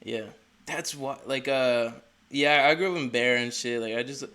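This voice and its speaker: A nineteen-year-old man from Delaware interviewing a rapper in a rambling, excitable way, full of "like". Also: mid-range voice, moderate pace. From Delaware, USA. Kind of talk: casual interview chat.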